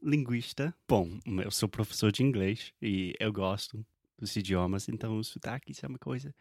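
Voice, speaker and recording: An American man, a native English speaker, co-hosting a casual podcast and speaking Portuguese as a learner, relaxed and thinking aloud with fillers. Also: lowish voice, normal speed, no room sound, studio quality. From United States. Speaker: podcast co-host, English teacher.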